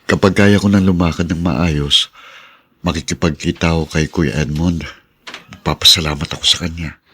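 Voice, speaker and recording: A man's voice: sits low, fairly even intonation, normal speed, fairly bright tone, breathy edge. An actor playing a hopeful, devout, flirtatious man with a Filipino accent in a radio drama. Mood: grateful, hopeful.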